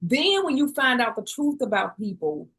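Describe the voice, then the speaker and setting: Affirming woman host, a bit high. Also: very animated, normal speed, fairly bright tone. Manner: affirming, keeps saying yes. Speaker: podcast host. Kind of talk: interview questions.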